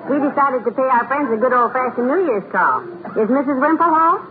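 A woman's voice: high voice, expressive, a little fast, phone-quality sound. A woman playing a genial, courteous housewife in an old radio comedy. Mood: politely admiring. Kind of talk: 1950s radio comedy acting. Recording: noisy, thin vintage radio recording.

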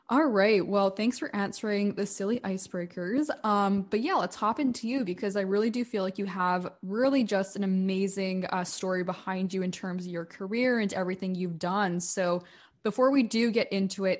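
A woman hosts a casual podcast chat, upbeat and eager as she asks her guest questions. Her voice sits at an ordinary middle pitch. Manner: upbeat and enthusiastic. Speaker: podcast host.

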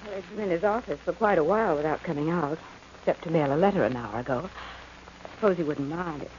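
An actress playing an icy, prim, snippy secretary with a cold, clipped manner in a 1940s radio drama. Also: female voice, sits low, fast talker, darkish tone, close to an everyday voice. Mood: cool, guarded. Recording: muffled, noisy old radio recording.

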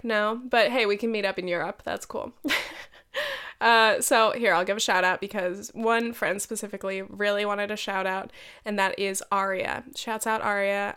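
A woman's voice: mid-range voice, fairly bright tone, clean tone. An American woman in her twenties with a nasal, congested voice from a head cold, chatting casually and slangily as a solo podcast host. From United States. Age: 20s. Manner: slangy, sweary, self-mocking. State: getting over a head cold.

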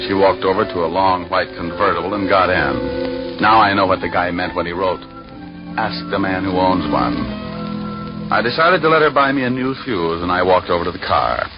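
A man's voice: low voice, moderate pace. A man playing a suave, wry private detective in an old radio drama, both bantering and narrating. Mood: dry narration. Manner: wry, smooth, flirtatious. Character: suave, wisecracking male private detective narrating his case. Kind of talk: 1950s radio detective acting. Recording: dull old radio recording with music.

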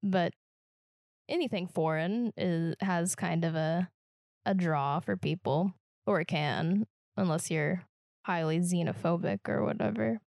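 Gravelly Midwestern woman, dry close sound. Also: on the low side, darkish tone, no echo. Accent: Midwestern American. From Kansas, US Midwest. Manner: reflective, halting, restarts phrases often. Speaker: podcast co-host. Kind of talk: casual podcast conversation.

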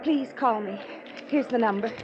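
A woman's voice: a little high, normal speed, close to an everyday voice. An actress playing an anxious young woman in a 1950s radio drama, speaking urgently and pleadingly. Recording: dull lo-fi radio with noise.

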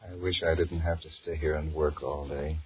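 A man's voice: very deep voice, level tone, normal speed, breathy edge, heavily acted. An actor in a 1940s radio drama playing a weary, restless married businessman who grumbles and wheedles as he flirts with his secretary. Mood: weary, wistful. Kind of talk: 1940s radio drama acting, inner monologue and dialogue. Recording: muffled, hissy 1940s radio recording.

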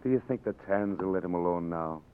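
An actor with an Irish accent playing a stern, weary rebel commandant in a 1940s radio drama. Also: male voice, low-pitched, brisk, clean tone, natural voice. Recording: tinny old radio broadcast with hiss.

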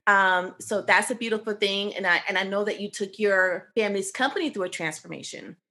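A woman's voice: medium pitch, a little fast, bright, forceful tone. A woman co-hosting a podcast, interviewing her guest with enthusiasm and lavish praise. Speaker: podcast co-host.

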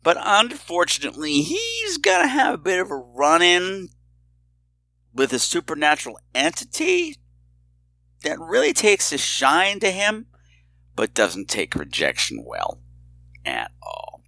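Male podcast host, low but very animated, rambling, informal, theatrical flourishes, dry close sound. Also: measured pace, gravelly, dry recording. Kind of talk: casual, rambling show introduction.

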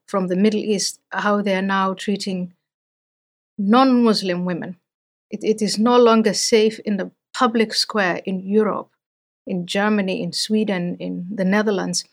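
Earnest woman from Somalia, podcast guest. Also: mid-range voice. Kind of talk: podcast interview.

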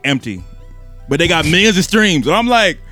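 A man's voice: sits high, big pitch swings, normal speed, pushed and bright, faint music behind. An American man of thirty chatting casually as a guest, candid and slangy. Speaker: rapper guest. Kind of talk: casual chat.

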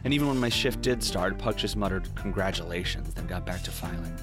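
A man's voice: sits low, steady tone, brisk, natural voice. A man playing a weary, sardonic ex-cop detective in a scripted noir audio drama, with a wry, brooding manner. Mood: wry, regretful. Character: weary, sardonic male ex-cop detective narrating his past. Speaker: narrator and lead character. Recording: music under the voice.

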